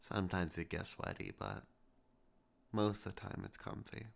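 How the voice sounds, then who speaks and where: A man performing a romantic ASMR roleplay to the listener, soft, intimate and teasing with a possessive edge. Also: normal speed. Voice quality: low gravelly voice with heavy vocal fry.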